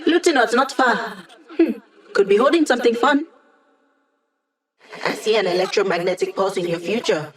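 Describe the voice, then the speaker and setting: A woman's voice: mid-range voice, moderate pace, pauses often, natural voice. A quirky young hacker from a video game, played by a woman, a digital being with an upbeat streak. She speaks in a mid-range female voice, chatty and playful, with a wry edge to her delivery. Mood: brisk, wry. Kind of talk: video game character dialogue.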